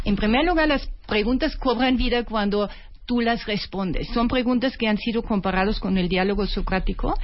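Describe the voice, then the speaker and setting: Austrian woman guest, clear step-by-step explainer, higher voice, muffled radio sound. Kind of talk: explaining a self-inquiry method on radio. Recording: dull lo-fi radio audio.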